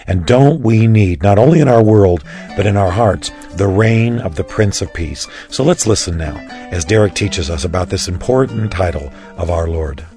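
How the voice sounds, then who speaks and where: A man hosting a religious radio program, earnest and chatty, his voice a little raspy today. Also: low-pitched, normal speed, mellow, soft background music. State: slightly raspy voice. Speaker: radio host. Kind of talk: warm-up introduction on radio.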